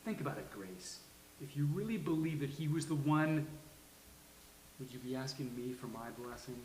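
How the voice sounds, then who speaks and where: A man's voice: mid-range voice, lively intonation, normal speed, darkish tone, slight background noise, natural voice. A man playing an anxious, lovesick, melodramatic lawyer in a live sitcom table read. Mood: wistful. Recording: roomy live venue sound.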